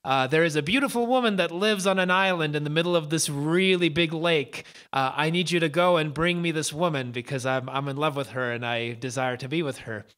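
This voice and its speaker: A man's voice: on the high side, brisk, few pauses, a bit bright, lightly acted. A man voicing an imperious, proud king in a folk tale he tells aloud. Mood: demanding. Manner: imperious, demanding. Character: angry proud male king.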